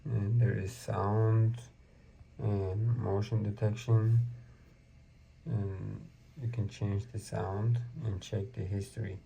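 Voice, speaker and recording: A man's voice: lowish voice, gravelly, mellow, slight background noise. A man giving a casual product demonstration as a reviewer, in plain, step-by-step short phrases.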